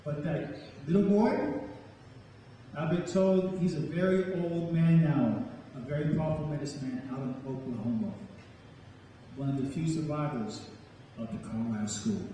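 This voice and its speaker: A man speaking as a poet and storyteller to a live audience, with a deliberate, dramatic delivery. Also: fairly high pitch, mellow. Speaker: spoken word poet and storyteller. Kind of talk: dramatic poetry reading and talk to a live audience. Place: large echoing room with a live audience. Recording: echoey hall, distant mic, faint noise.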